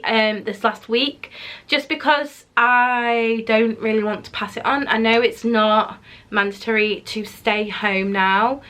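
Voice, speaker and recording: Chatty lady rambling through her weigh-in vlog, bit nasally, bright voice. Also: medium pitch. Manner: chatty, rambling, many ums and restarts. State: recovering from covid, slightly nasal. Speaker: weight loss vlogger. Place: home office.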